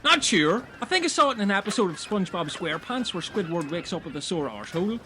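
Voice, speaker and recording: A man's voice: high voice, sing-song, fast, bright, forceful tone, slight background noise, full character voice. A man playing a foolish, eager castaway who is easily wounded, in a comedy audio drama. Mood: sheepish.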